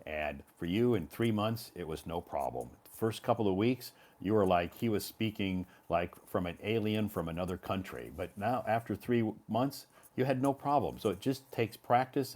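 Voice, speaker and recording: A low-voiced American man in the role of English teacher. He gives conversational advice in an encouraging way, repeating key points for emphasis. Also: lively intonation, moderate pace, hardly any pauses, a little mellow.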